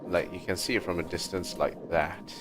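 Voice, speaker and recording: A man's voice: low-pitched, fairly even intonation, normal speed. A man giving casual video game commentary as he streams, thinking aloud in a mumbly way into a streaming microphone. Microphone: streaming microphone that picks up movement. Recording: game music behind the voice.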